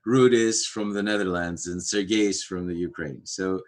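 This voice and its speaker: A man from Greece, a Greek native speaker, talking casually on a video-call livestream, pausing often with fillers and restarts. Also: deep voice, steady tone. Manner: halting, many fillers and restarts. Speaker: framework core team member.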